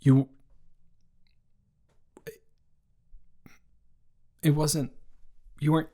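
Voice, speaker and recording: Man acting as emotional, halting young best friend. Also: a little high, little pitch movement, mellow, lightly acted. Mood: hurt, uncertain. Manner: halting, emotional, naturalistic speech. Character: boyfriend's best friend, indignant yet gentle young man. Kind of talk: improvised intimate audio roleplay.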